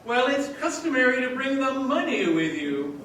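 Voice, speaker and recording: Polite, fussy male teller, played by an actor, very high-pitched, radio stage play, distant and echoing. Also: very animated, moderate pace, a bit bright, full character voice. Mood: polite, puzzled. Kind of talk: old-time radio play acting on stage. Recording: distant echoey stage recording, faint noise.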